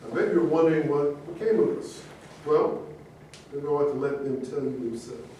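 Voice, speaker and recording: A man's voice: a little high, normal speed, warm tone, lightly acted. A man acting a genial host who addresses the audience in a declamatory, inviting way at a staged reading. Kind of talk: theatrical rhymed verse, staged reading. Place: live staged reading in a roomy space. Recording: very echoey room, distant mic, faint noise.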